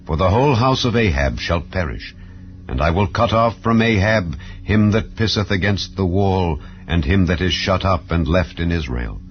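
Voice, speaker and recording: A man reading scripture aloud in a slow, solemn, measured way. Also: deep voice, expressive, muffled recording, soft background music. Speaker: Bible reader.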